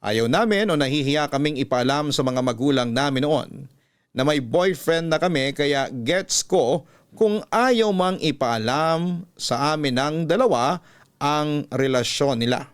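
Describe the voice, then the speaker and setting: Male radio storyteller, smooth and clear, fairly high pitch, measured scripted read with dramatic pauses. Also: expressive. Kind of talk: scripted narration of a listener's letter.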